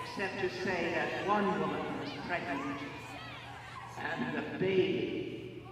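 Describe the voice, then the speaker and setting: Stern older overseer lady, slow solemn preachy speech, big echoey place w loud crowd. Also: on the low side. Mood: solemn, menacing. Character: stern older female overseer leading a public execution. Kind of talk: dramatic audio play speech. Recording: echoing hall, far off, noisy crowd.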